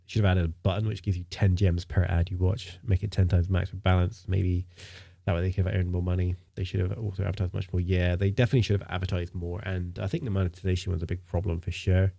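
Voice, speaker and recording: A man's voice: low voice, level tone, quick pace, gravelly, dark tone. A man streaming live in casual chat, sounding nasal from a stinking cold, rambling with lots of fillers and repeated words.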